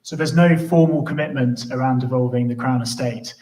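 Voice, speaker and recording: A man's voice: medium pitch, brisk, warm tone. A man speaking as a candidate at a hustings, emphatic and repeating phrases to press his points. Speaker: parliamentary candidate. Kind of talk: hustings speech. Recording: roomy, distant from microphone.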